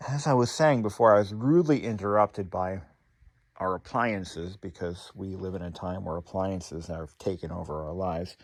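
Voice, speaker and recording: A man's voice: low voice, moderate pace, no echo. A man hosting a hobby repair channel, talking casually to viewers in a rambling, wry way with sarcastic asides.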